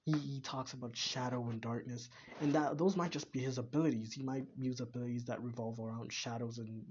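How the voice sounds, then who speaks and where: A man's voice: mid-range voice, fairly even intonation, a little fast, darkish tone, lo-fi sound, slight background noise. A young man reacting to a video, rambling and hesitant with many restarts. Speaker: reaction channel host. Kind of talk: casual reaction commentary.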